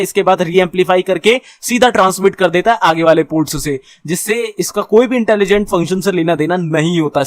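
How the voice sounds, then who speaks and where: A man with an Indian accent giving an explanatory tutorial as an instructor, reading points out slowly and then explaining them informally with repetition. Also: high-pitched, no echo. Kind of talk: explanatory tutorial lecture mixing Hindi and English.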